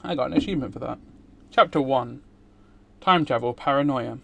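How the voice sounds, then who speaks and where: A man's voice: medium pitch, a little fast, creaky, bright, forceful tone. A man streaming a game, reading a visual novel aloud.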